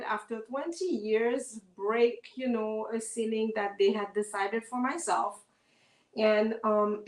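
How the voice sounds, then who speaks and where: A Haitian-born woman physician in her fifties, whose first language is Haitian Creole, introduces herself warmly on a video call with many pauses and ums. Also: fairly high pitch, roomy sound, low-quality recording. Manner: warm, a little nervous, many pauses with ums. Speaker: guest, physician. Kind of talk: interview, personal introduction.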